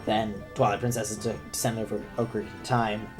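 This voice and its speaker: A young man with a cold talks over gameplay in a lowish, thickened voice. He rambles, loses his train of thought and pokes fun at himself, filling gaps with plenty of ums, while faint music plays underneath. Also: little pitch movement, quick pace. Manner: rambling, self-deprecating, many ums. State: sick, deep voice. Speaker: gaming commentator. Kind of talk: let's play commentary.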